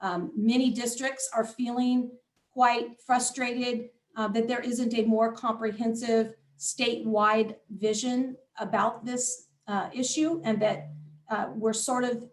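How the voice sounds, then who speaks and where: Woman answering questions, far off in an echoey room. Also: on the high side. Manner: measured, thinking aloud with many fillers. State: losing her voice, clearing throat. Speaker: school superintendent hosting a town hall.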